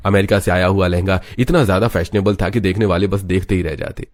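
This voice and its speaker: A man's voice: sits low, steady tone, fast. A man narrating a scripted dramatic family story as a storyteller. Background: background music.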